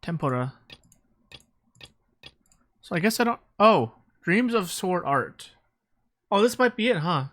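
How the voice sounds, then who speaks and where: A woman's voice: lowish voice, very animated, a little slow, pauses often, fairly bright tone. A woman livestreaming a game, chatting casually and swearing as she mutters to herself, with game music behind. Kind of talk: casual, sweary livestream chat while playing.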